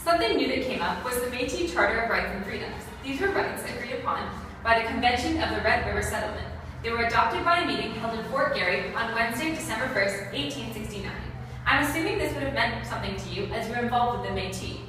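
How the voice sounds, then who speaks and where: A woman reading scripted interview questions for a school project, in a soft, careful way. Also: on the high side, lively intonation, quick pace, noisy background. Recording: echoey room, far from the mic, music behind.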